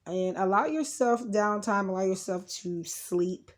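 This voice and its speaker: A woman hosting a casual, unscripted tarot card reading in a rambling, informal way, her voice a little rough from being sick. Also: mid-range voice, a little slow. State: getting over sickness, slightly rough voice. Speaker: tarot card reader and channel host.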